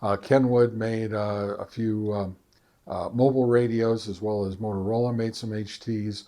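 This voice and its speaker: An American man presenting a hobby video in a casual, unscripted way, speaking haltingly with many filler pauses. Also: lowish voice, fairly even intonation, mellow. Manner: halting, hesitant delivery with frequent long filler pauses. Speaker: ham radio video creator and presenter. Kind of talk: casual unscripted product talk.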